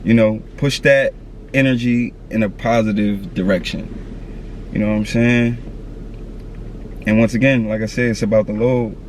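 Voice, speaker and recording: A man's voice: on the low side, steady tone, moderate pace, pauses often, a little hiss or hum. A man in his thirties talking candidly to camera outdoors, earnest and slangy, repeating his advice. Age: 30s. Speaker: vlogger. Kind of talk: candid motivational talk to camera.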